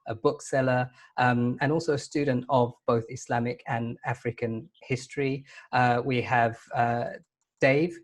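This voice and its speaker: A man hosting an online panel discussion, introducing guests in a relaxed way with many ums and restarts. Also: medium pitch. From United Kingdom. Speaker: panel host.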